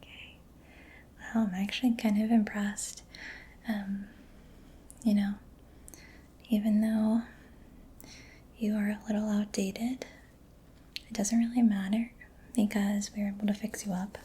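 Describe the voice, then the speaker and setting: A woman speaking in a soft, breathy whisper for an ASMR roleplay, playing a gentle, reassuring mechanic, close to the microphone. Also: mid-range voice, level tone, measured pace, pauses often.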